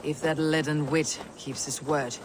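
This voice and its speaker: A woman's voice: low-pitched, steady tone, a little slow, heavy background noise. An actress playing a blunt, wary Viking warrior woman in a video game, terse and dry in manner. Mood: dry, skeptical. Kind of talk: dramatic video game acting.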